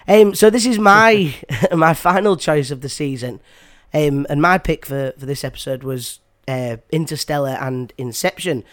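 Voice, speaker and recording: A man's voice: on the high side, moderate pace, few pauses, fairly bright tone. A man hosting a podcast in casual chat, stuffy from a cold, with frequent ums and restarts. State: has a cold. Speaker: podcast host.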